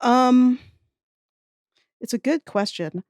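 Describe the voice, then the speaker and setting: A woman's voice: high-pitched, slow, darkish tone, dry recording, clean studio sound. A woman guest on a podcast, chatting casually and thinking aloud with a wry, talkative manner. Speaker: podcast guest, audio fiction writer. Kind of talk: casual chat.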